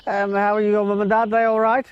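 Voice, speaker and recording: Actor as chirpy, high-pitched former schoolteacher. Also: male voice, completely flat delivery, brisk, clean tone, highs cut off, natural voice. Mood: cheery. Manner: effusive, repeats himself. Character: chirpy, kindly older male former schoolteacher. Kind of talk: film dialogue, small talk.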